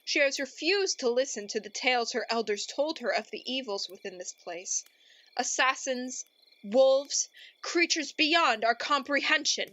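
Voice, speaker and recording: A woman's voice: high voice, lively intonation, a little slow, a bit bright, highs cut off. A woman playing a melodramatic, easily exasperated narrator in a radio play, grandiose and theatrical. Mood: solemn. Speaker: narrator and host.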